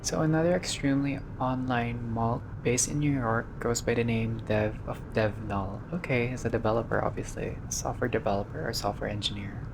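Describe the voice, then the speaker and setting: A man doing a casual game playthrough, reading dialogue aloud and reacting with expressive, slangy asides. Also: mid-range voice, fairly quick, vocal fry, few pauses, music in the background. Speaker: gaming video player reading dialogue aloud.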